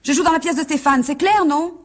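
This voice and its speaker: A woman's voice: high-pitched, a little fast, lightly acted. A woman playing a spirited, emotional young actress in a French radio drama. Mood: angry, reproachful. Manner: emotional, expressive. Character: hopeful, spirited young actress, easily moved, quick to reproach.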